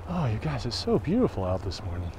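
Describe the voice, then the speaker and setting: A man's voice: lowish voice, wildly swooping pitch, fast talker. A man narrating casually while walking outdoors across a snowy campus, musing aloud and unsure of building names. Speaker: walking tour guide. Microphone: camera carried while walking. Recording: outdoor wind and traffic noise. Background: wind and street noise.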